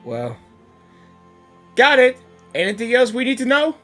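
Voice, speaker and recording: A woman doing excited game reaction commentary, exclaiming in a husky voice. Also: a little high, unhurried, soft background music. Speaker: gaming reaction video creator.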